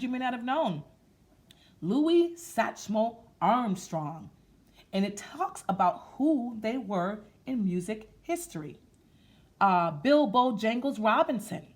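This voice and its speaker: A woman hosting a children's storytime, reading aloud in an expressive, sing-song way and speaking to her listeners as friends. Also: on the high side. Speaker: storytime host reading a picture book aloud. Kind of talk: children's read-aloud with rhythmic sound effects and chatty asides.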